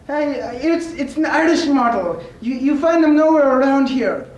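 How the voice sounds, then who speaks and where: A woman's voice: high voice, lively intonation, normal speed, recorded from a distance. A woman playing a timid little Irish leprechaun man in a stage comedy sketch, hesitant, polite and stammering. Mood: polite, anxious. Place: live stage before an audience. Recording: echoey stage with background noise.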